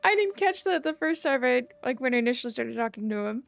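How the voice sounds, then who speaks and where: A woman's voice: high-pitched, lively intonation, fast, vocal fry. A woman game streamer giving casual live gameplay commentary and chatting with viewers. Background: game music.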